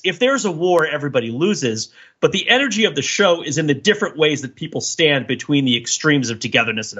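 Man, bright husky voice, very animated intonation, wordy emphatic analysis, casual podcast talk, slightly dull recording. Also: medium pitch. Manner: wordy and emphatic, often checking with "right?". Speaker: podcast co-host discussing a TV series. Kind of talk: casual analytical discussion among co-hosts.

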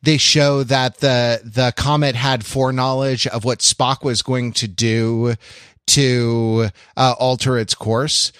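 A man co-hosting a podcast in casual analytical chat, haltingly repeating words as he works out his points. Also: medium pitch, little pitch movement, fairly bright tone, clear, resonant tone, no echo, studio quality. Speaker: podcast co-host.

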